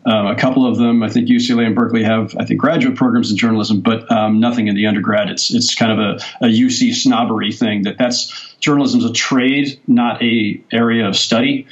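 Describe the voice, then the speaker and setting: A man's voice: mid-range voice, steady tone, fairly quick, few pauses, highs cut off. A sportswriter in his forties, a guest on a podcast, telling his story in a thoughtful, rambling way. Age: 40s. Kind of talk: casual interview talk.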